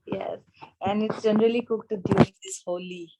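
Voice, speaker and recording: A woman in her thirties with an Indian accent, teaching an informal online class in a halting way, checking in as she goes. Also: medium pitch, steady tone, very noisy. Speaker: anatomy teacher at a yoga school. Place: small room with a whiteboard, indoors. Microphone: device camera a short distance away, video call.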